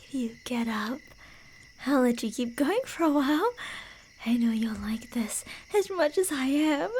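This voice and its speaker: A woman playing a delusional, playfully menacing yandere killer in an ASMR roleplay, teasing in a sing-song way and slipping into breathy whispers. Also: sits high, expressive, a little slow, darkish tone, a little hiss or hum. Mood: predatory patience.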